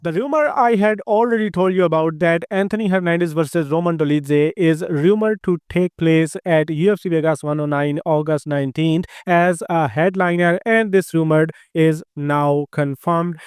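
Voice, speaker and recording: A man with an Indian accent hosting a combat-sports news roundup, briskly reading lists of names and records. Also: a little high, a little mellow, clean tone, dry recording, studio quality. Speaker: host.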